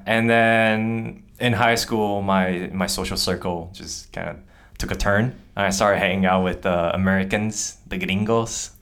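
A man's voice: deep voice, a little slow, clean tone. A young adult man from Taiwan, a podcast guest talking casually and repeating words as he goes. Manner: relaxed, repeats words, many yeahs. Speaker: interview guest. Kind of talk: casual conversation.

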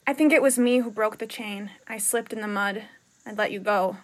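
A woman playing a shaken, vulnerable female character in a radio play, with a husky voice and a hesitant way of talking. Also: medium pitch, moderate pace, lightly acted. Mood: guilty.

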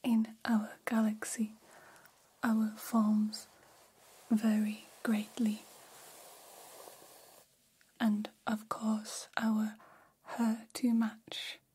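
Woman as gentle humanoid alien, ASMR roleplay, soft whisper, very flat intonation, mellow, formal and soothing. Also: a little high, slight background noise, poor audio. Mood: awed, gentle. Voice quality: breathy whisper. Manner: slow, stilted formal phrasing, soft and soothing.

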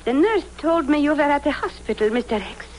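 Cunning female spy, high precise voice, smug, vintage radio drama. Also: animated, brisk, a little mellow. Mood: smug, menacing. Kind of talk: 1950s radio drama acting. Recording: slightly noisy vintage radio recording.

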